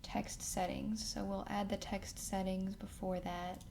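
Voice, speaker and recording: A woman hosting a casual software tutorial, thinking aloud as she works through each step. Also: mid-range voice, little pitch movement, a little slow. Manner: thinks aloud while working. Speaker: tutorial host. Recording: clear background noise, keyboard and mouse clicks.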